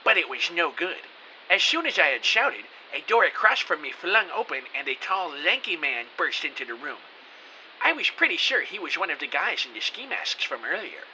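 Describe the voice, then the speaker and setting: Man playing an animated, high-voiced everyman narrator. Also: normal speed, heavily acted, rough recording. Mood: tense narration. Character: hapless, anxious everyman narrating his kidnapping. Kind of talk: dramatic first-person scripted narration. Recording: faint hiss.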